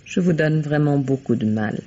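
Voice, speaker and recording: A woman's voice: sits low, moderate pace, mellow. An actress playing a defiant young murder suspect in 1950s radio mystery style, exasperated and flippant in her protests. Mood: exasperated, reproachful. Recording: dull vintage radio drama recording.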